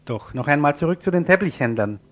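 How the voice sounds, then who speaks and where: Slightly high-voiced male radio reporter. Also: expressive, fast, dull audio. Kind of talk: scripted news report narration.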